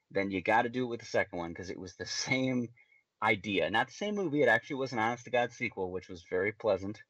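A man's voice: mid-range voice, fairly bright tone, no room sound, muffled recording. A man co-hosting a podcast who rants in casual chat and often stammers and restarts his words. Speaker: podcast co-host.

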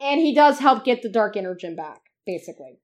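Woman co-hosting a fan podcast, kinda high voice, lots of likes and uhs. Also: lively intonation, a little fast. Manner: rambling, heavy use of "like" and "uh". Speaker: podcast co-host. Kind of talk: casual fan podcast chat.

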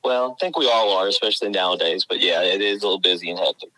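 A man's voice: deep voice, fast, pushed and bright, low-quality recording. A man, chatting as a guest and laughing often. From South Carolina, US. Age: 40s. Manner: friendly, laughs often, stammers on restarts. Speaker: guest, college science teacher. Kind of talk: casual interview chat.

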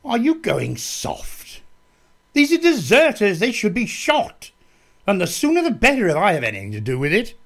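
A man's voice: high voice, huge pitch swings, normal speed, vocal fry, fairly bright tone, exaggerated voice. A man playing a ruthless, menacing army captain in a radio play, sneering and threatening. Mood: contemptuous. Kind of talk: radio drama acting.

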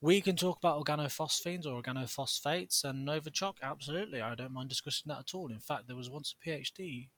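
A man's voice: a little high, fast talker, hardly any pauses, fairly bright tone. A man co-hosting a podcast, theatrical, jokey and sarcastic in casual chat. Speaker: podcast co-host.

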